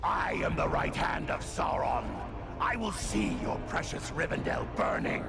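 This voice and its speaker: An actor playing an arrogant, imperious dark sorcerer commander in a fantasy game, with a gravelly voice and menacing declamation. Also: male voice, on the high side, sing-song, unhurried, loud music behind the voice, exaggerated voice. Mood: sneering, defiant. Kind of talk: dramatic fantasy game acting. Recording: loud game soundtrack behind.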